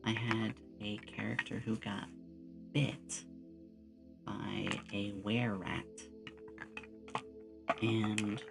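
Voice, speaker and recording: Creaky-voiced streamer chatting over loud music. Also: mid-range voice, flat delivery, very slow, long pauses. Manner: rambling storyteller, acts out short quotes. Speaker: streamer, VTuber host. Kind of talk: casual chat with stream viewers; relaxed ASMR stream.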